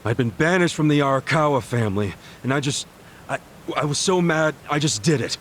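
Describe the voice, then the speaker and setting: A man with an American accent playing a gruff, defensive, hot-headed yakuza ex-convict in a video game. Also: fairly high pitch, lively intonation, fairly quick, slight background noise, close to an everyday voice. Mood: grim, defensive. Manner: brash, defensive, slangy. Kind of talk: English video game dub acting. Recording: music behind the dialogue.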